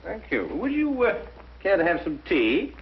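An actor playing an affable, eccentric British gentleman detective in a 1950s drama, courteous and slightly halting. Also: high-pitched, wildly swooping pitch. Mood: affable, absorbed. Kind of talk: 1950s television drama acting. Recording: muffled, noisy 1950s television soundtrack.

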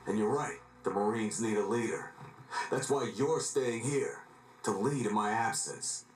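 A man's voice: lowish voice, a little slow, slightly rough. A man playing a resolute, grave squad leader in a video game audio drama, speaking in a firm, clipped, decisive way. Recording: faint noise on audio log.

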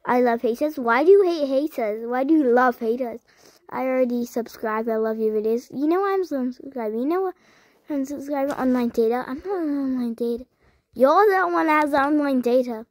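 A young girl hosting her own channel, chatting as she reads viewer comments aloud and answers them with sudden high squeals. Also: normal speed, a little mellow, dry recording. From United Kingdom. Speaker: channel host. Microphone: phone.

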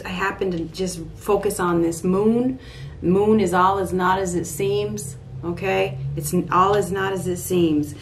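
A middle-aged woman giving an improvised tarot reading to camera in a small room at home, halting and repeating phrases as she thinks aloud. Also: mid-range voice. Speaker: tarot card reader. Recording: clear steady background room noise.

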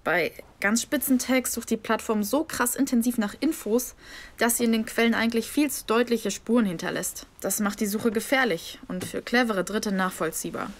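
A woman's voice: a little high, normal speed, close to an everyday voice. An actress playing a guarded, self-possessed young woman in an audio drama, understated and matter-of-fact. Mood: explaining. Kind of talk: naturalistic German audio drama acting.

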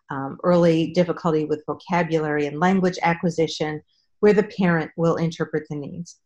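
A middle-aged woman with a voice pitched a little low speaks as a guest expert over a video call. She explains patiently, pausing often on ums. The sound is that of a home room picked up through a call. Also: a little mellow. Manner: measured, explanatory, with frequent ums. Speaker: speech-language pathologist guest.

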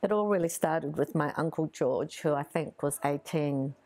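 A woman in her sixties, born in Greece, talking slowly and reflectively about her childhood, pausing often, seated in her art studio. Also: sits low, darkish tone. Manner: thoughtful, hesitant, many pauses and fillers. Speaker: artist interviewee. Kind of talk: reflective personal storytelling.

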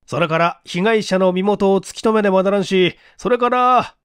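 A man voicing a composed middle-aged police investigation chief who reasons aloud with measured authority in an audiobook. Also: high-pitched, fairly bright tone, clean tone, dry recording, clean studio sound, voice pushed up. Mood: cautious, decisive. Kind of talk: audiobook character acting.

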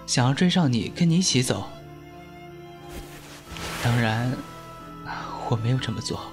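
Man playing a gentle, expressive young man. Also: mid-range voice, a little slow, over music. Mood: wistful, sincere. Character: gentle, sincere young man, quietly devoted. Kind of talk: Chinese audio drama acting.

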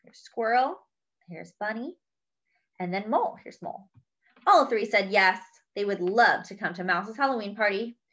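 Bright, animated woman reading aloud. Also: mid-range voice, moderate pace, muffled recording. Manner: expressive, chats to the child listener. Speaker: children's story reader. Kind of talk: read-aloud storytelling.

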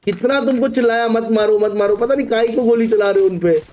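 High-pitched, blunt Indian male game streamer. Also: quick pace, darkish tone. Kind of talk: casual live gameplay chat with teammates. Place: indoor room at a streaming setup.

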